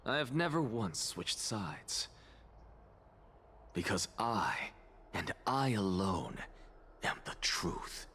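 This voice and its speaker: Low, theatrical, arrogant scholar. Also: male voice, very animated, fairly bright tone, slightly rough, exaggerated voice. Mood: haughty, defiant. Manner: theatrical, deliberate, pompous. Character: arrogant, paranoid male scholar, honest, theatrical, defiant loner. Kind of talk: dramatic video game voice acting.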